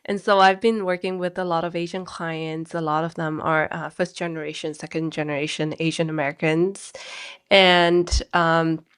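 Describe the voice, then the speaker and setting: A lower-pitched woman talks as a guest counselor on an interview show. Her talk is chatty and loose, full of "like," with relaxed grammar. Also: moderate pace, clean tone. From Malaysia. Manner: chatty, leans on "like" as a filler, relaxed non-native-sounding grammar. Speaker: guest, mental health counselor.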